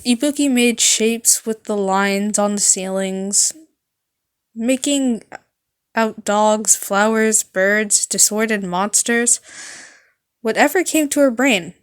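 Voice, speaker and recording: A woman narrating fan fiction as an amateur reader, halting at times and correcting her stumbles. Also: medium pitch, smooth.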